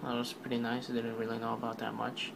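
A man's voice: mid-range voice, completely flat delivery, fast, gravelly, background noise. A man giving a casual, rambling voice-over recap of a product keynote, full of fillers and offhand remarks. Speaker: tech video narrator.

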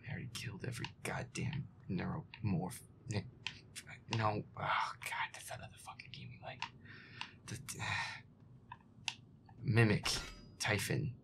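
A feisty young boyfriend played by a man grumbles through a horror game in audio roleplay. He mutters slowly in a half-whisper with a gravelly rasp, swearing and on edge. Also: on the low side, steady tone. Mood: irritated muttering. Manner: profane, irritable, jumpy muttering. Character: feisty young male werewolf boyfriend playing a scary game. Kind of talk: improvised-sounding gaming commentary, audio roleplay.

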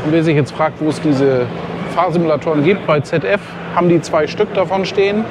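A man's voice: on the high side, steady tone, fairly quick. A man from Germany chatting casually to his viewers as he walks around a busy outdoor racetrack event, often ending his sentences with a questioning "ne?". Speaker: vlogger. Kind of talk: casual walk-and-talk vlog. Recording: outdoor noise and crowd.

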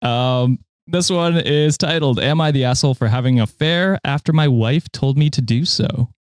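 A man's voice: medium pitch, normal speed, dry recording, studio quality. A man in his late twenties hosting a podcast, chatting casually and reading online posts aloud. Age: late 20s. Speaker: podcast host reading stories.

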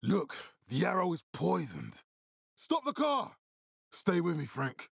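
A gravelly, commanding militia leader. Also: male voice, high voice, wildly swooping pitch, takes it slowly, fairly bright tone, no echo, clean studio sound, full character voice. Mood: furious, shouting orders. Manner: authoritative, urgent, giving blunt orders. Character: commanding, hard-swearing male militia leader in a zombie apocalypse. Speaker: voice actor. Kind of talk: video game dramatic acting.

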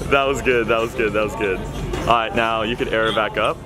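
Young american dude vlogging on a handheld cam, jokey slang, loud music over it. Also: male voice, lowish voice, fairly even intonation, a little fast. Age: young adult. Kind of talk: casual excited vlog chat. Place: outdoors on streets and in shops. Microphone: handheld camera at arm's length.

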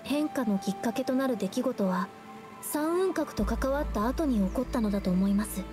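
Woman as a high-voiced, gentle young artist. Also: lively intonation, normal speed, close to an everyday voice. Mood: earnest. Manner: soft-spoken, polite, earnest. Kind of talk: anime-style game voice acting. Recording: game music behind the voice.

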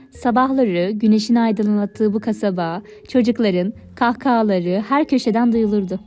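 A woman narrating a scripted fairy tale for children in a clear storybook manner. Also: fairly high pitch, warm tone. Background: background music.

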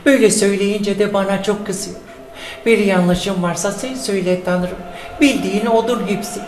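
A woman's voice: mid-range voice, fairly even intonation, moderate pace, a little mellow, echoey room, a little hiss or hum, close to an everyday voice. A woman playing a frustrated, childless wife who complains in prayer. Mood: complaining prayer. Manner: complaining, exasperated.

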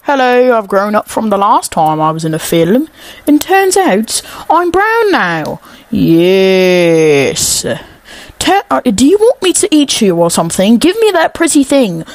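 A woman's voice: on the high side, big pitch swings, unhurried, no echo. A woman voicing a melodramatic, possessive T. rex in an improvised comic movie dub, declaiming in a mock-stately way. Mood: pompous, playful. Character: huge dramatic, possessive T. rex with tiny arms. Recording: movie noise behind voice.

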